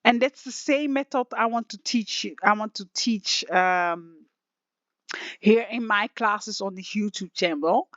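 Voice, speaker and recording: A woman with a slightly raspy voice talks slowly through a casual software lesson, rambling and restarting often. The recording is dull and lo-fi, with a dry, close sound. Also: medium pitch, dry recording. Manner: rambling, emotional, many restarts and fillers. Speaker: online music software teacher. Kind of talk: casual screen-share tutorial.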